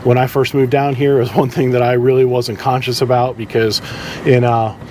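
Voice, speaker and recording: A man giving instructions in a casual, halting, conversational way, as a boat captain presenting tips. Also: medium pitch, level tone, warm tone, a little hiss or hum. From southeastern United States.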